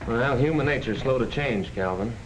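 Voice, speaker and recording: A man's voice: mid-range voice, normal speed, natural voice. An actor in a 1940s film playing an earnest small-town male insurance salesman, folksy, patient and persuasive. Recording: noisy 1940s film soundtrack.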